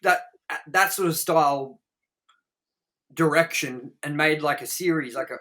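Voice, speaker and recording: A man's voice: fairly high pitch, measured pace, bright, forceful tone. A man hosting a casual film review podcast, talking in a blunt, rambling, sweary way. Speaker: podcast host reviewing films.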